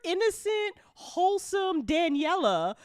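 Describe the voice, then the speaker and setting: A woman's voice: very high voice, sing-song, slow, no room sound, clean studio sound. A woman hosting a casual after-show chat, gushing and joking with plenty of swearing. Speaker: host, writer and voice actor.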